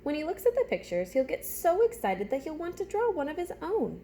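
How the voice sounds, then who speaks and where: A woman's voice: high voice, wildly swooping pitch, brisk, mellow, a little hiss or hum. A woman teacher reading a storybook aloud to young children, chatting to them directly with simple questions and step-by-step prompts.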